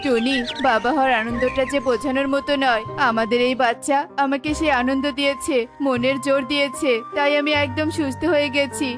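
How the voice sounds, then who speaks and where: A woman's voice: high-pitched, steady tone, a little fast, hardly any pauses, over music, close to an everyday voice. A woman voicing a devoted, tender male little bird, a loving father in a children's cartoon. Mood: tender joy. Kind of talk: animated children's cartoon dialogue.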